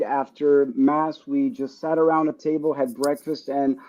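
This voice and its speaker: A man's voice: on the high side, dark tone, dull audio. A man hosting a podcast interview, introducing his guest with enthusiasm. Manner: enthusiastic, encouraging. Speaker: podcast host.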